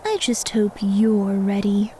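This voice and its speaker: A woman's voice: mid-range voice, steady tone, slow, mellow, lightly acted, rough recording. A woman playing a polite, coy seductress on a date in an audio drama. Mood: sly whisper. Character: poised, secretly scheming seductress on a date.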